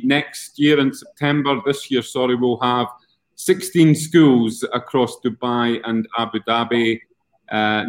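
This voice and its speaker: A middle-aged man with a Scottish accent, chatting as an executive guest in a casual, wry manner. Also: medium pitch. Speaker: guest, education company chief executive. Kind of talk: casual interview chat.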